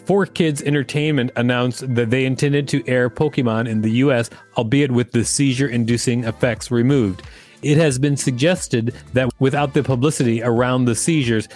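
A man's voice: medium pitch, darkish tone. A man co-hosting a podcast, reading an article aloud and breaking off for dry joking asides. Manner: dry, joking asides between read passages. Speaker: podcast co-host.

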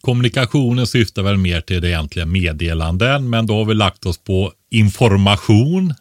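A man with a low voice, recorded close and dry, speaks as an expert on a podcast. He explains things in a casual, conversational way and often ends a point with a short questioning tag. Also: no room sound. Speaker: expert podcast co-host giving preparedness advice.

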